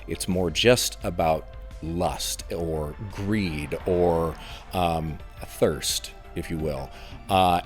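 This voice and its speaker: A man from a stage cast, speaking in a measured, reflective way. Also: sits low. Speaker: stage actor. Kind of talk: casual interview.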